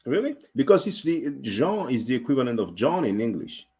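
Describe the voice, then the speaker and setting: A man's voice: mid-range voice, sing-song, brisk, mellow, husky. A man with a French accent, a native French speaker, chatting casually in a polite, hesitant manner. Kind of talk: casual discussion.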